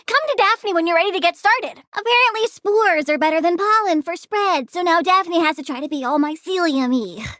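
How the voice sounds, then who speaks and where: A woman's voice: extremely high pitch, normal speed, few pauses, sharp tone, no echo, clean studio sound. A woman voicing a volatile young plant-powered girl villain in a video game, girlish, bratty and blunt, with gleeful menace. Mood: matter-of-fact, eager. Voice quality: girlish, childlike. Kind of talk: video game character voice lines.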